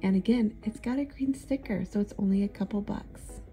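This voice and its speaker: A woman in her thirties narrating a thrift shopping trip in a chatty, relaxed, easily amused way. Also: medium pitch, fairly quick, soft background music. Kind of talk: casual voice-over narration.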